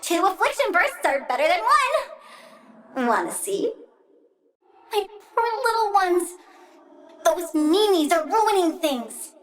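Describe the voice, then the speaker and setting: A tiny, bratty plant-girl villain from a video game. The voice is extremely high and sharp, taking its time over each threat. It has a childlike petulance and an eager, sing-song delight in cruelty. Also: very animated, slow pace, long pauses, recorded from a distance, heavily acted. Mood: earnest, cutesy. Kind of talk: video game character voice lines.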